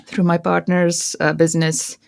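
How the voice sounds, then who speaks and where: A woman's voice: lowish voice, flat delivery, normal speed, gravelly, no echo, studio quality. A woman from South Africa, an Afrikaans native speaker with a South African accent, chatting as an interview guest and often restarting her sentences. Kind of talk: casual interview chat.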